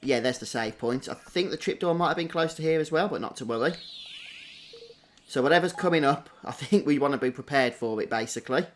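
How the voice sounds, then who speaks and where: A man's voice: medium pitch, a little fast. Man chatting over gameplay, some background noise. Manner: chatty, thinking aloud. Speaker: game streamer doing a walkthrough. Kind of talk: casual gameplay commentary.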